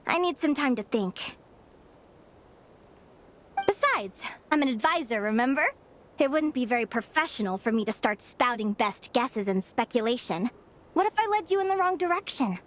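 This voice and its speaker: A woman's voice: on the high side, expressive, normal speed, a little hiss or hum, lightly acted. A woman playing a stubborn young advisor. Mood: reflective. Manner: earnest, reflective. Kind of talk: game cutscene dialogue. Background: loud music.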